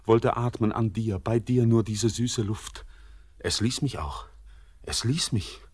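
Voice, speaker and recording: Tormented young man, low husky voice, halting, solemn, intense, poetic radio drama. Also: a little mellow. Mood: haunted, confessing. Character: tormented haunted young man, feverish and brooding.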